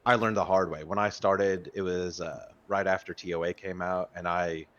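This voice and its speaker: Deep voiced guy in his 30s, rambling and swearing, kinda muffled audio. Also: steady tone, normal speed, fairly bright tone. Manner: rambling, stammering restarts, swears freely. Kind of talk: casual gaming chat.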